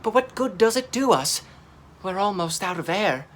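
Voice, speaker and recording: A man voicing a plucky, clever and caring female dinosaur crewmate from a children's storybook, earnest and expressive. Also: high-pitched, moderate pace, a little hiss or hum, voice pushed up. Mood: thoughtful. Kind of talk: children's storybook character reading.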